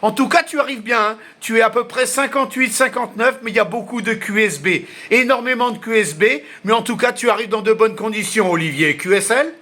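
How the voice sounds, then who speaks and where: Bright, high-pitched chatty man. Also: brisk, few pauses. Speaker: amateur radio operator. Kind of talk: casual ham radio contact, unscripted.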